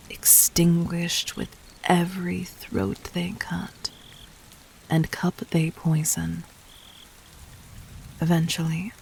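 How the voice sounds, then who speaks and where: A regal, calculating demon queen played by a woman addresses her would-be assassin in an audio roleplay. She speaks very slowly and formally, her soft voice low and creaky at the edges, often sinking toward a whisper. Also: slight background noise. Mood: measured, gentle. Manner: measured, regal, formal, deliberate.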